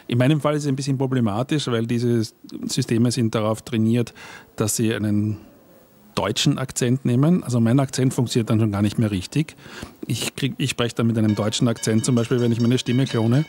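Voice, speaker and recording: A male panel guest explains his creative process in a voice of middling pitch. He thinks aloud, stopping and restarting his sentences, with fillers between his thoughts. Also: fairly even intonation, brisk, darkish tone. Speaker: guest, radio play and book author. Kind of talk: conversational panel talk. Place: at a live panel event.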